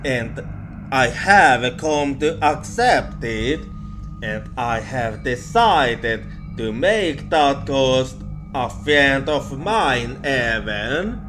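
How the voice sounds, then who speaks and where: A man telling a ghost story in an ASMR roleplay style, halting and hesitant, often repeating himself. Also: mid-range voice, animated. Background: music.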